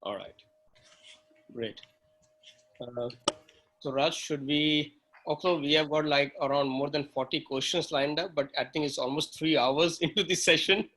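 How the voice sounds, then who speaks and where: A man's voice: on the high side, fairly even intonation, unhurried, long pauses, bright, forceful tone, a little hiss or hum, poor audio. A man hosting an online panel and courteously wrapping up the session over a video call. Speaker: host.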